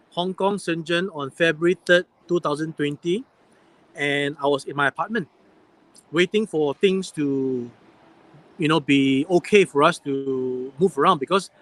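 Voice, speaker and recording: A man's voice: a little high, a bit bright, poor audio. A man hosting a casual live stream chat, asking probing questions in an inquisitive, chatty way. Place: airport waiting area at night.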